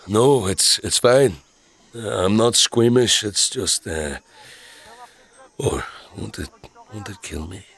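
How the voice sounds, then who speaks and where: A man playing a troubled, wry scavenger in a dark fantasy audio drama, gravelly and husky, with a hesitant, halting delivery. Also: on the low side, full character voice. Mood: uneasy. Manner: hesitant, halting, dryly sarcastic. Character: gruff, troubled young male scavenger with inherited powers, wry.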